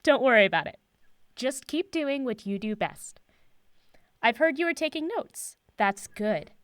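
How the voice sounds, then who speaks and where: A woman playing a self-assured, cryptic professor in an audio drama, speaking in a measured, guarded, teacherly way. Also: on the high side, sing-song, clean tone, no room sound. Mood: patient, instructive.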